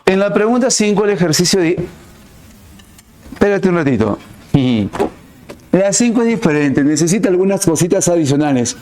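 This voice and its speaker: A male math teacher explaining a problem step by step, asking rhetorical questions and stressing key words with big swings in pitch. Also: expressive, moderate pace, long pauses, background noise. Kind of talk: recorded online class.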